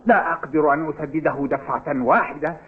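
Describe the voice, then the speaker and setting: An actor playing a determined, earnest schoolboy who loves football, polite but insistent, in a dubbed cartoon. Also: male voice, a little high, a little fast, husky. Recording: tinny band-limited audio with music behind.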